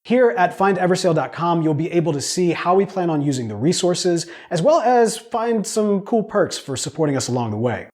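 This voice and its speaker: A middle-aged man telling a personal story in a conversational, earnest way as he pitches his crowdfunding project. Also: animated, moderate pace, hardly any pauses, a little mellow. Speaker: podcast producer and storyteller pitching his audio drama.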